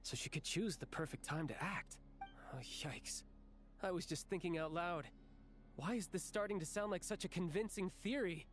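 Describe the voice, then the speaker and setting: A man's voice: sits high, moderate pace, lightly acted. A man playing a sly, genteel young official in a video game, speaking in a playful, articulate and theatrical way. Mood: musing, curious. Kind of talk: video game character acting.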